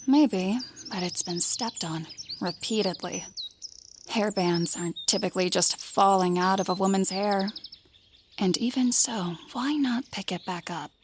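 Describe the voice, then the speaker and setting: An actress plays a composed female knight captain in a fantasy audio drama. She speaks at a medium pitch with measured, formal authority. There is faint noise behind her. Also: lightly acted. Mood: intent, investigative.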